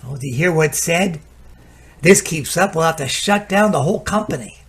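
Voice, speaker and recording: A man's voice: fairly high pitch, lively intonation, a little fast, a bit bright, slightly rough, exaggerated voice. A man playing a weary, exasperated maintenance crew chief in a radio drama, grumbling and sardonic. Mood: worried, insistent.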